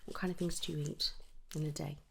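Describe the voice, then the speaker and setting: A soft-spoken woman in an ASMR medical roleplay, gently asking questions in a breathy voice that often slips towards a whisper. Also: sits low, flat delivery, a little hiss or hum. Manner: gentle, unhurried questioning with pauses for answers. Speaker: ASMR performer playing a trichologist.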